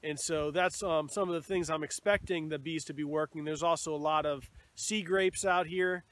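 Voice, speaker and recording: A man in his thirties, a beekeeper, explaining things in a relaxed, casual way to a phone held at arm's length outdoors. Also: on the high side, moderate pace, no room sound. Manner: relaxed explanatory talk with fillers. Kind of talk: casual instructional vlog. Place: outdoors at a bee yard. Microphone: phone camera at arm's length. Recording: faint outdoor noise.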